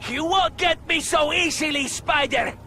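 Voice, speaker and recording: A man's voice: very high voice, measured pace, background noise. An actor playing a menacing, taunting male criminal henchman in a video game. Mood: defiant.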